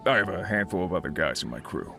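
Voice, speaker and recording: An actor playing an uneasy, earnest miner who leads a crew, explaining things in video game dialogue. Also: male voice, deep voice, animated, fast talker, gravelly, sharp tone, heavily acted. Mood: friendly. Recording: game music behind the voice.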